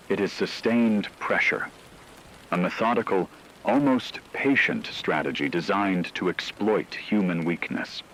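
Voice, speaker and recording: A man playing a solemn radio news anchor, delivering a scripted emergency broadcast in a measured, ominous way. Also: low voice, fairly bright tone, a little hiss or hum, full character voice, poor audio. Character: grave male radio news anchor reporting an apocalypse.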